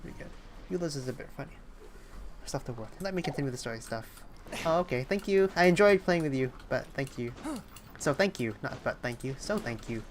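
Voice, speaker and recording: A woman's voice: a bass voice, lively intonation, a little fast, a little hiss or hum. A young woman livestreaming a game, chatting casually and thinking aloud with some hesitation. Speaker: game streamer. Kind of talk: casual livestream chat while playing. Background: game music.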